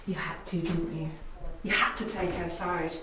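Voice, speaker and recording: A woman acting an unhinged, jealous kidnapper in a drama, menacing and swinging between hushed gloating and screaming. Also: on the low side, level tone, moderate pace, close to an everyday voice. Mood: bitter, accusing. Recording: echoey room, distant mic, background noise.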